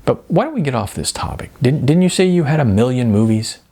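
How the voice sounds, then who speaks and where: A man reading a novel aloud as a calm, resigned friend of almost fifty, matter-of-fact and a little tentative. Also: medium pitch, a little fast, breathy edge. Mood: resigned, gentle.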